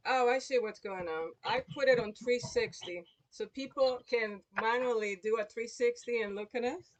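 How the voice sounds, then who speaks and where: A woman's voice: a little high, normal speed, slight background noise, poor audio. A woman hosting a live show in casual, explanatory conversation. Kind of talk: casual chat. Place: online livestream call.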